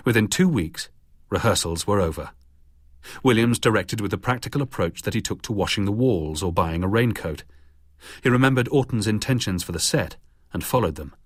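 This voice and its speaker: Husky low-voiced male narrator. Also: no room sound, clean studio sound. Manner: measured, even reading. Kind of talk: scripted documentary narration.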